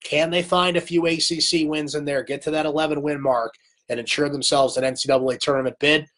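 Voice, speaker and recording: A man's voice: a little high, fairly even intonation, fast, a bit bright. A man hosting a live sports recap stream, casual and opinionated, answering viewer comments while losing his voice to a cold. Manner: chatty, opinionated, reads viewer comments aloud.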